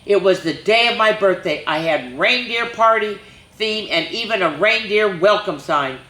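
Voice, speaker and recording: Lady doing kids story time, bright voice, sing-songy reading, echoey room. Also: medium pitch, animated, moderate pace. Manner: sing-song rhyming read-aloud with chatty asides. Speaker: children's storyteller. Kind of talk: reading a picture book aloud to children.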